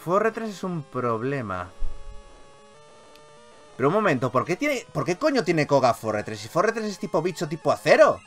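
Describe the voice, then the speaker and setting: Male gaming YouTuber, Castilian accent, highly animated, slightly high voice, free with swearing, music underneath. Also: normal speed. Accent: Castilian Spanish from Spain. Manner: animated, expressive, swears freely. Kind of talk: excitable let's play commentary.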